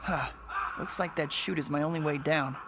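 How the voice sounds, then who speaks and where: An actor playing a wisecracking young superhero in a video game, plucky and sarcastic, talking to himself in quips. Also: male voice, a little high, steady tone, normal speed, very noisy, close to an everyday voice. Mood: wry, determined. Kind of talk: video game hero acting.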